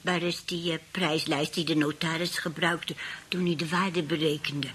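A sly old great-aunt of a radio play, with a middling female pitch that sits slightly low. She is dry, bossy and calculating, and a faint noise lies behind her. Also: fairly even intonation, normal speed, fairly bright tone. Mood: brisk, calculating. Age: older.

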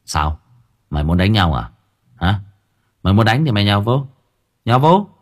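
A man's voice: fairly low pitch, very animated, moderate pace. A man voicing a hot-headed, stubborn young labourer in an audio story, curt and challenging. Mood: furious, challenging.

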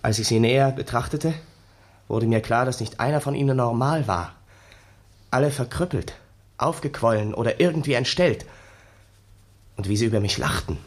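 An actor playing a haunted, bitter young ex-soldier, telling his story wearily and dramatically in a gravelly, husky voice for a 1960s German radio play. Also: mid-range voice, expressive, normal speed, pauses often, close to an everyday voice. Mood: horrified recollection.

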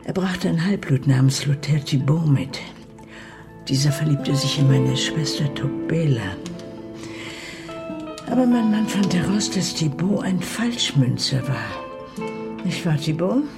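Grieving mother, bitter, grave, emotional storytelling, Western radio play, clear music underneath. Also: deep voice, animated, a little slow, pauses often, full character voice. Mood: bitter recounting. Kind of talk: German radio play acting.

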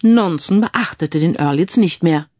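A woman's voice: on the low side, lively intonation, unhurried, mellow, no echo, clean studio sound. A woman reading a scripted crime story as an audiobook narrator.

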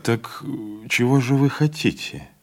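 A man voicing an older train conductor who speaks quietly, slowly and firmly with calm dignity. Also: mid-range voice, voice pushed up. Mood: calm grave.

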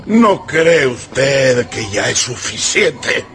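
A man's voice: medium pitch, big pitch swings, very slow, fairly bright tone, full character voice. An actor playing a desperate, ruined general in a radio drama, agitated and stammering as he blusters and pleads. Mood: indignant, desperate. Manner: agitated, stammering, repeating himself. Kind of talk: Spanish-language radio drama acting.

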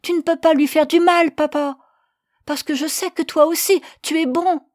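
A woman's voice: sits high, steady tone, normal speed, voice pushed up, close to an everyday voice. A woman voicing a tender-hearted, stubborn little boy who asks earnest, pleading questions.

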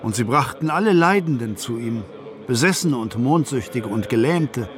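An actor playing an evangelist, earnestly telling scripture as narration in a radio drama. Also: male voice, mid-range voice, lively intonation, moderate pace, natural voice. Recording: crowd clearly heard behind voice.

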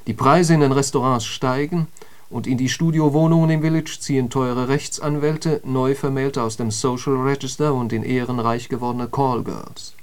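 An actor as an eloquent intellectual holds forth in a literary radio play. His middle-pitched male voice is emphatic and declamatory, with the bite of a polemicist. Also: fairly even intonation, normal speed, a little mellow, lightly acted. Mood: scornful. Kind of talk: literary radio drama monologue.

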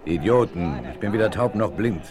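Deep, domineering outlaw gang boss. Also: male voice, lively intonation, moderate pace. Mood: gruff impatience. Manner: gruff, barking, commanding. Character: tall gaunt red-haired tramp gang boss, domineering. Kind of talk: 1970s German audio drama acting. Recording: vinyl rip, rowdy crowd behind.

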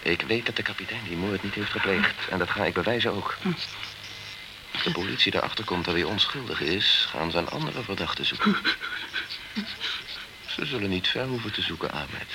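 Low-voiced, dogged insurance investigator, noisy recording. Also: male voice, animated, moderate pace. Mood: probing, insinuating. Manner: insistent, interrogating, coolly persuasive. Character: dogged, probing male insurance investigator, pressing and bribing. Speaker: actor in a crime radio drama. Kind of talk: crime radio drama acting. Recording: noisy radio drama recording.

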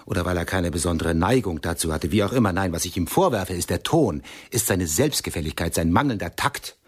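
Husky, pedantic art lover, indignant and proud. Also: male voice, sits low, lively intonation, brisk. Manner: emphatic, pedantic, insistent. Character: indignant, cultured male art lover, proud and easily offended. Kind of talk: radio play acting.

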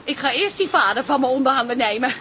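An actress playing a jealous, suspicious, hot-tempered middle-aged daughter in a Dutch radio drama, blunt and accusing. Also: female voice, high voice, expressive, fast talker. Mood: furious, accusing. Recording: noisy background ambience.

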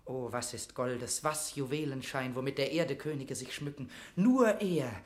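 A man's voice: mid-range voice, a little slow, lightly acted. Man playing fervent young nobleman in echoing room. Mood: rapturous. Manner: declamatory, impassioned, solemn. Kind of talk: classic German verse drama, declaimed radio acting.

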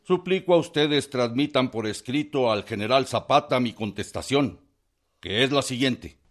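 An actor playing a formal, stiff-mannered chief of a constitutional army in a radio drama. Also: male voice, mid-range voice, normal speed, soft background music, natural voice.

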